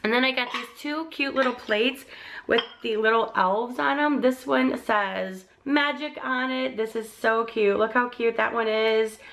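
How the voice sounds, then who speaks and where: A woman's voice: a little high, fairly even intonation, a little slow, gravelly, fairly bright tone. A young woman, a vlogger getting over laryngitis with a slightly crackly voice, chatting in a gushing, casual way about a shopping haul. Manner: chatty and gushing, with frequent likes and ums. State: recovering from laryngitis, a bit crackly.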